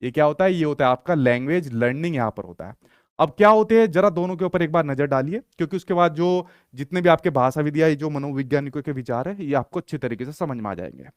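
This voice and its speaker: A man's voice: fairly high pitch, expressive, fast talker, no echo. A young male teacher lecturing in an online exam-prep class, repeating points and asking rhetorical questions he answers himself. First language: Hindi.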